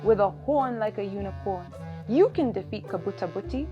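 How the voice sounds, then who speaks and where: A little male rabbit from a storybook done by a woman, distressed and pleading. He draws out his flattery with animated pitch, and music plays clearly behind. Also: moderate pace, muffled recording, lightly acted.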